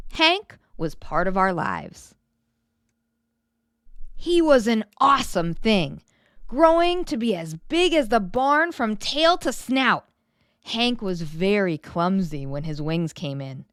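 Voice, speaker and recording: A woman narrating a children's picture book in an expressive read-aloud storytelling style. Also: medium pitch, dry recording, studio quality.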